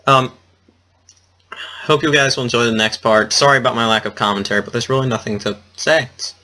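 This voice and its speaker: A man's voice: medium pitch, steady tone, fairly quick, pushed and bright, slight background noise. A man giving casual, rambling let's play commentary in an awkward, self-deprecating way, with game music behind him. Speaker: let's player.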